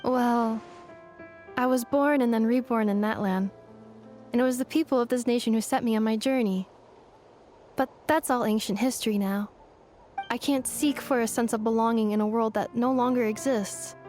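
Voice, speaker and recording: A woman playing a composed, sincere robot in a video game, with formal, precise and measured speech. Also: fairly high pitch, steady tone, darkish tone, soft background music, lightly acted. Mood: earnest, resolute.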